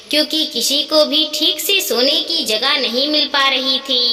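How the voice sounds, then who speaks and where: A woman narrating a scripted family story in an expressive, dramatic storytelling manner. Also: high-pitched. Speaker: narrator of moral stories. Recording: echoey, long reverb.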